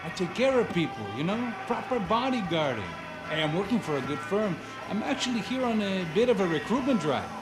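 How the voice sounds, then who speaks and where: A streetwise ex-cop bodyguard with a high, swooping voice and a brash, chummy, persuasive manner. It is video game cutscene acting with music behind it. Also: male voice, very animated, brisk. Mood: easygoing, persuasive. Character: streetwise, affable male ex-cop turned private bodyguard.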